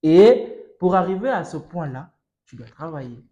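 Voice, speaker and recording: A young man from Congo with a Congolese French accent, a student guest discussing casually in an emphatic, halting, repetitive way. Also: fairly high pitch, animated, mellow. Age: young adult.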